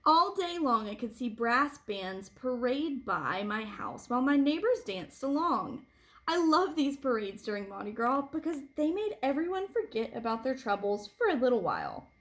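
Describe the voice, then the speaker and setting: A woman reading a picture book aloud to children like a teacher, with animated phrasing and deliberate emphasis. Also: a little high. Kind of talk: expressive read-aloud storytelling for children.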